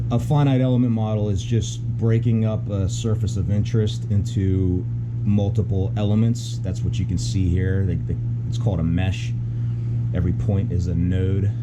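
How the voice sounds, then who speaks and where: Low-voiced man explaining over loud hum. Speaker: auto mechanic instructor. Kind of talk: hands-on technical explanation.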